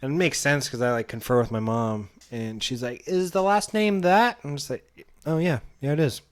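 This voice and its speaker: A man co-hosts a podcast with a mid-range voice. He chats casually and slips in deadpan, teasing jokes. The sound is dry, with little room in it. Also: mid-range voice, normal speed, dry recording. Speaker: podcast co-host. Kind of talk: casual chat. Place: indoor room shared with co-host.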